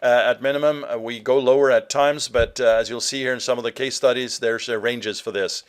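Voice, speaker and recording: A man's voice: mid-range voice, fairly even intonation, brisk, smooth. A middle-aged man from Norway giving a marketing webinar presentation, earnest and direct, with frequent filler sounds.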